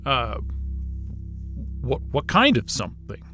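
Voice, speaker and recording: Bright-voiced, evasive nervous scientist. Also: male voice, medium pitch, huge pitch swings, slow pace, faint music behind, full character voice. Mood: uneasy. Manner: stammering, casual, defensive. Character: evasive, nervous male astrophysicist at a polar station. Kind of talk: naturalistic audio drama acting.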